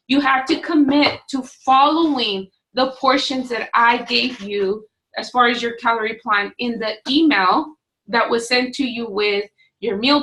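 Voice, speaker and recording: Firm, slightly hoarse woman coach. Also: fairly high pitch, sharp tone, rough recording. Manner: firm, no-excuses, pep-talk delivery. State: slightly hoarse, losing her voice. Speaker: fitness coach. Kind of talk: direct-to-camera coaching talk. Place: small home office with bookshelves. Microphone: webcam at desk distance.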